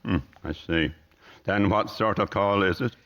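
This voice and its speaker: An actor playing a gloomy car salesman in a radio drama, speaking in a formal, deadpan, sardonic and measured way. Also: lowish voice, muffled recording. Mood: coolly sardonic. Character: tall, gloomy, curt male car salesman, dryly superior.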